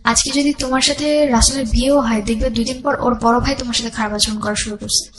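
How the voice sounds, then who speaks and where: A young woman with a fairly high voice speaks with a Bangladeshi accent. She tells her personal story as an interview guest, narrating step by step and dropping English words into her sentences. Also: steady tone, fast talker, poor audio. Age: young adult.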